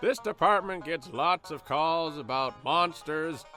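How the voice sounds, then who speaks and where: Brief: villainous blustering deputy, sharp high voice, mocking, comic radio play, music underneath. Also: male voice, measured pace. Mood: sneering, blustering. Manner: pompous, mocking. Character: loathsome blustering small-town lawman, sneering and pompous.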